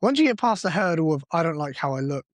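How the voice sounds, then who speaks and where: A man's voice: fairly high pitch, very animated, fast talker, dry recording. Blunt man, podcast co-host. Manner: blunt and swearing, self-deprecating. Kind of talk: casual podcast chat.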